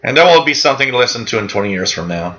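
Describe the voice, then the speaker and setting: A man's voice: medium pitch, big pitch swings, racing, sharp tone, dull audio. A man whose first language is French hosts a live radio hobby show, chatting casually with viewers in a rambling, friendly way full of fillers and restarts. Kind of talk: casual live-stream chat reading out viewer comments.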